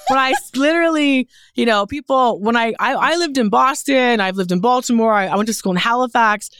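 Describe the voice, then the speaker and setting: A woman's voice: fairly high pitch, brisk, fairly bright tone, smooth, dry recording. A woman from Newfoundland with a Canadian accent, chatting candidly and emphatically as an interview guest in a studio. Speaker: guest, fashion photographer and creative director. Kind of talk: casual chat interview.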